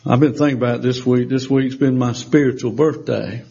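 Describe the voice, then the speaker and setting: A man's voice: medium pitch, fairly even intonation, a little fast, vocal fry, warm tone, slightly rough. A man in his sixties preaching a folksy sermon in a church, with emphatic rises and call-and-response. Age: 60s. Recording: dull lo-fi sermon recording.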